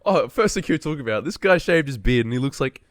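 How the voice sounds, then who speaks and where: A man's voice: medium pitch, animated, fast talker, dry recording. A man co-hosting a casual podcast, joking irreverently, sounding slightly sick with a nasal, sore-throated voice. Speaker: podcast co-host.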